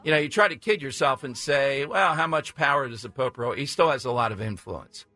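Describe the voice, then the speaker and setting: Man, talk radio host, bright tone, teasing, faint music under. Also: medium pitch, brisk, no room sound. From South Philadelphia. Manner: joking, teasing callers. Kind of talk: casual talk radio banter.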